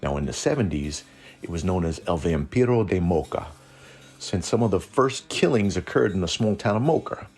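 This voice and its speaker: A man's voice: low voice, expressive, normal speed, darkish tone, slightly rough. A man narrating a scripted lore show as host, with dry joking asides.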